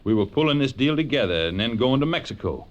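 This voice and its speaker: An actor playing a menacing, cocky blackmailer and killer with a tough, sneering delivery in a 1940s radio crime drama. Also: male voice, mid-range voice, lively intonation, quick pace, lightly acted. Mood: cold, sneering. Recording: dull vintage radio recording.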